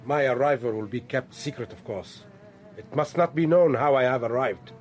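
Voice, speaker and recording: An actor playing a stern, self-assured militant leader in a radio drama, speaking in authoritative, clipped declarations with a husky voice. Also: male voice, mid-range voice, moderate pace, darkish tone, soft background music, natural voice. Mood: assured, commanding.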